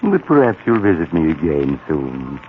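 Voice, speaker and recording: An actor playing a sly, macabre storyteller, narrating and hosting an old-time radio horror show in a theatrical, confiding way. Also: male voice, very deep voice, very animated, normal speed, slight background noise. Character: macabre, sly male storyteller living beside a cemetery. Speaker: host and narrator. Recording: tinny old radio recording. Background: music.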